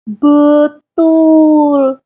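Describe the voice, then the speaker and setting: Woman playing a gentle, patient storybook papa. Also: sits high, flat delivery. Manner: slow, soft, explaining. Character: gentle patient father teaching his daughters. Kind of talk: storybook dialogue.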